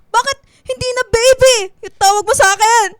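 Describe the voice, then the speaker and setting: A woman's voice: extremely high pitch, fairly even intonation, fairly quick. An actress playing a giddy, clingy young girlfriend in a radio drama, theatrical and whiny, with a Filipino accent. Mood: sulky, whiny. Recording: music bed under voice.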